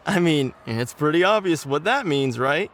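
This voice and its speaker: A man's voice: on the high side, animated, moderate pace, a bit bright, slight background noise. A man playing an eager, friendly young villager in a video game, earnest and chatty. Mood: excited, confiding. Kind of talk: English video game voice acting.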